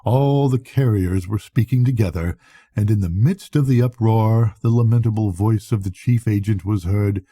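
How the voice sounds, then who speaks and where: An older man narrating a bedtime audiobook as a grandfather would, reading deliberately, phrase by phrase. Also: fairly low pitch, dark tone, slightly rough, no echo, studio quality.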